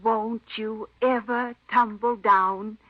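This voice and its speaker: An actress playing a folksy, forgetful, kindly elderly aunt who rambles in an old radio drama. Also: female voice, on the high side, steady tone, slow. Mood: proud, doting. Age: older. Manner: rambling, folksy rural phrasing. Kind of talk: 1950s radio drama acting. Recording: dull old radio recording.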